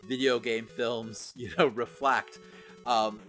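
A man's voice: medium pitch, fairly even intonation, takes it slowly, bright, forceful tone, soft background music. A man co-hosting a casual pop culture podcast, talking conversationally with lots of "like" and "you know". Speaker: podcast co-host.

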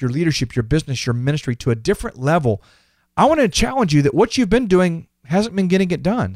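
A man's voice: a little high, darkish tone, no room sound. Earnest, emphatic fifty-year-old man, dry studio sound. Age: 50s. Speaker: podcast host. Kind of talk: reflective motivational podcast monologue.

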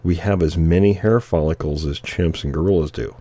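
A man with a very deep, dark voice talks casually on a podcast, hoarse because he is losing his voice. He often stops to clear his throat and wanders through his points. The sound is close and dry. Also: brisk, dry recording. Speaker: podcast co-host. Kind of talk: casual chat.

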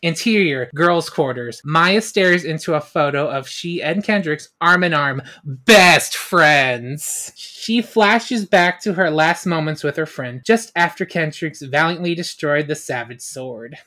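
Female host-narrator, low-pitched, reading scripted introductions and screenplay directions. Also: expressive, unhurried, fairly bright tone.

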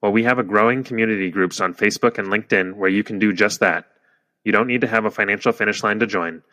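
A man's voice: fairly low pitch, fast, pushed and bright. A young man hosting a podcast, reading the show intro in an upbeat, polished way. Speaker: podcast host.

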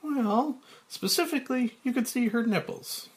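Prim fussy lady censor, high prissy falsetto, done by a male reader. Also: moderate pace.

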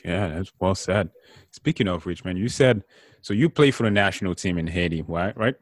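A man's voice: low voice, moderate pace, no echo, studio quality. A man hosting a casual interview, friendly and loose, calling his guest man and bro.

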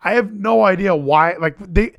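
A man talking casually and animatedly as a podcast co-host, full of quick asides. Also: sits high, vocal fry, no echo, lo-fi sound. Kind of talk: casual wrestling chat.

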